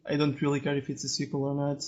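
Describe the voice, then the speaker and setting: A man talks casually as a podcast guest, with little movement in his pitch. He is hesitant and halting as he searches for words. The recording is dull and lo-fi. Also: monotone. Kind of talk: casual discussion of opinions. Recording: dull, lo-fi voice-chat audio.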